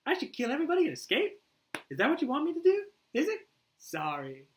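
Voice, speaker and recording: A woman's voice: high voice, huge pitch swings, quick pace. A woman playing a manic, playful, sweetly unhinged villainess.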